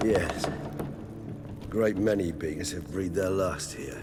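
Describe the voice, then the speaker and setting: A male actor playing a courteous, melancholy ancient vampire in a video game, speaking in formal, erudite and measured, slightly theatrical phrases. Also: sits low. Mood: scholarly, solemn. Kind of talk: video game character acting. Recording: loud game music behind the voice. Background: game music.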